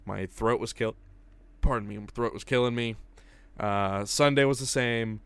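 A young man co-hosting a casual podcast, hoarse from a cold, recounting things step by step. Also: mid-range voice, moderate pace, no room sound. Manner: tells events step by step, plain and steady. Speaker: podcast co-host. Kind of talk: casual chat.

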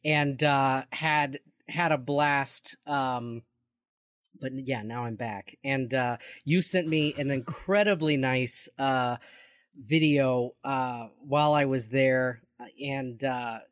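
A man's voice: medium pitch, slow pace, no echo. Forty-year-old man, dry close sound. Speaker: podcast co-host. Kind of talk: casual chat.

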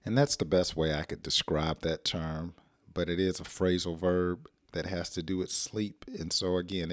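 A middle-aged American man with a hoarse, rough throat, teaching an English lesson in a casual, playful way and acting out example sentences. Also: low-pitched, no echo, clean studio sound.

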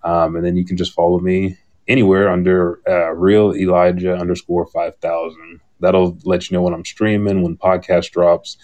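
A man's voice: low-pitched, little pitch movement, moderate pace, darkish tone. A man who podcasts, talking casually as a guest on a live show.

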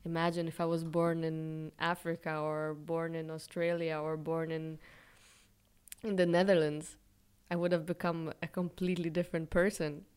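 A woman's voice: low-pitched, flat delivery, normal speed, darkish tone, smooth. A young woman from Israel, answering interview questions in a thoughtful, candid way with some fillers and light self-deprecating laughs. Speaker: interviewee, fashion brand founder.

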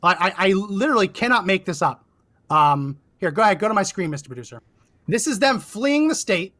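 A man co-hosting a political commentary show, animated and laughing often, saying he is losing his voice. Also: high-pitched, brisk. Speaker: co-host.

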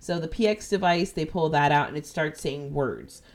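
Low-voiced Jersey woman retelling a ghost story. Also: moderate pace. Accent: New Jersey. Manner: animated, profane, jumps into quoted voices. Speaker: podcast host. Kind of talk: casual chat retelling a ghost-hunting episode.

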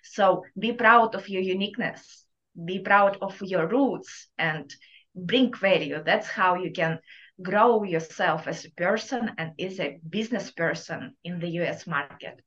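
A woman with a thick Russian accent speaks slowly and deliberately, her words measured and earnest. She gives motivational advice as an interview guest. The audio is slightly muffled and lo-fi. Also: on the low side, a bit bright. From Kazakhstan. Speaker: guest entrepreneur.

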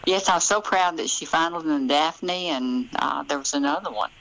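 Low-voiced older Southern US woman. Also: moderate pace, a bit bright, slight background noise, poor audio. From Memphis, Tennessee, US. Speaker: author, podcast guest. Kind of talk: casual interview chat.

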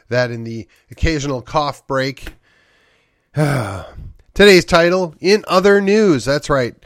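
A man's voice: on the high side, takes it slowly, no room sound, clean studio sound. A man hosting a solo political commentary podcast, congested from a sinus infection, rambling and wry with sighs and short laughs. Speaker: podcast host.

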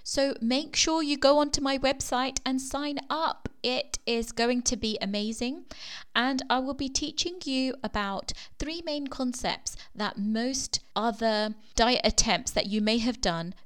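Woman podcast coach, solo episode; slightly croaky from a sore throat, explanatory, trailing off softly. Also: on the high side. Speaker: weight loss coach and podcast host.

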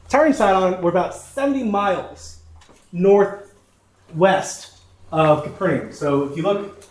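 A man with a Southern accent teaching a sermon, folksy and self-deprecating, with frequent fillers. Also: high-pitched. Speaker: pastor.

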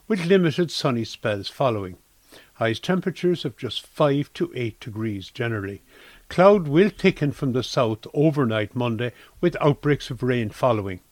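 A man's voice: on the high side, a little mellow, no room sound. A man with an Irish accent reading a scripted weather forecast for community radio.

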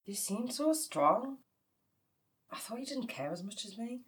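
Grieving sister, wry and dramatic, dark humour, very varied intonation, audio drama. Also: female voice, fairly high pitch, big pitch swings, a little slow. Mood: tender, sad. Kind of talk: naturalistic audio drama acting, comedy and pathos.

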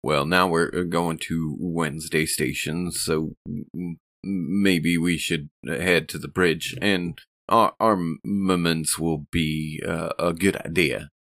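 A man playing a rattled, nervous spaceship crewman in a tabletop game, speaking in halting stammers. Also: low voice, fairly even intonation, a bit bright, no echo, clean studio sound, full character voice. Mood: shaken, urgent.

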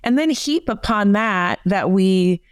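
A woman's voice: mid-range voice, expressive, takes it slowly, clean tone, no room sound. A woman, a psychotherapist guest, explaining her ideas in a conversational interview with many fillers. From United States.

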